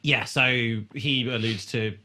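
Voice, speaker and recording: A man's voice: medium pitch, fairly even intonation, slow pace, bright, forceful tone. A man co-hosting a podcast, casually recapping a TV show with an irreverent, sweary manner. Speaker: podcast co-host.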